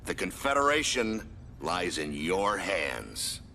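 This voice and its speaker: A stern, proud commander in a game cutscene. His low voice is forceful and swings widely as he delivers solemn, commanding speeches. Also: very animated, slow, vocal fry, slight background noise, heavily acted. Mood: grave. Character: proud, stern male military commander briefing his elite squad. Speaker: commanding officer. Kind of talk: dramatic video game cutscene acting.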